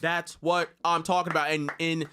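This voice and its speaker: A man hosting a podcast in casual, unscripted chat, talkative and quick to exclaim. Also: fairly high pitch, little pitch movement, sharp tone, a little hiss or hum. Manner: chatty, self-described yapper, exclaims often. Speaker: podcast host.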